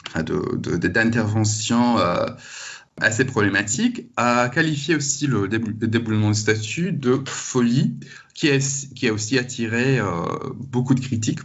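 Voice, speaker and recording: A man's voice: mid-range voice, moderate pace. A man giving academic interview answers, hesitantly rephrasing as he goes, on slightly dull audio. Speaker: university lecturer interviewee.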